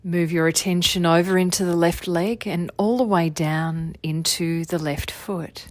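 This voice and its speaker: A woman leading a guided meditation as a teacher, giving gentle, soothing body-scan instructions in an unhurried way. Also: lowish voice, steady tone, no room sound. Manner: soothing, unhurried, gentle instruction with long phrases trailing softly.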